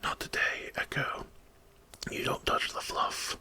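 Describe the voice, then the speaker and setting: A man's voice: slow, pushed and bright. A man voicing a brooding, protective male furry hero in a grave, terse whisper for an ASMR story. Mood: defiant. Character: brooding crimson-furred shadow-wielding male hero, defiant, protective.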